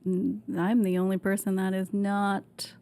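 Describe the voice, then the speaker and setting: A woman in her thirties, born in Vietnam and raised in Canada, talks through her story on a podcast with a middle-pitched voice. She rambles candidly, laughing often and restarting her sentences. She is close on a boom-arm microphone in a bright studio. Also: completely flat delivery, slow pace. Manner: chatty, laughs often, many fillers and restarts. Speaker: podcast guest. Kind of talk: candid conversational interview. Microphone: desk mic on boom arm.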